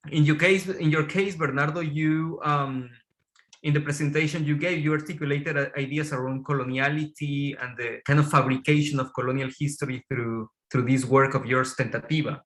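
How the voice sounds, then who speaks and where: A man moderating an academic panel off the cuff, hesitant and pausing between phrases. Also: mid-range voice, fairly bright tone.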